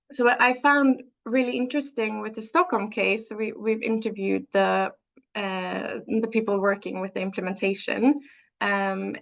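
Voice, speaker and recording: Woman researcher, webinar panelist, vocal fry, halting delivery with restarts, video-call audio. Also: mid-range voice. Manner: halting, frequent restarts while walking through slides. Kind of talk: webinar slide presentation.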